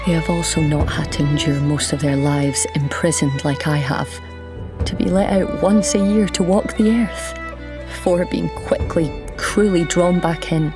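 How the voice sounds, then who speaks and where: A woman playing a bitter, defiant immortal goddess held captive, in measured, brooding audio drama acting. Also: sits low, fairly even intonation, over music.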